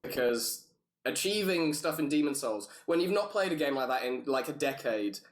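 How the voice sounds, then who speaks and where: A man's voice: mid-range voice, expressive, normal speed. A man chatting casually as a podcast panelist about games, giving opinions with some swearing. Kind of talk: casual gaming chat.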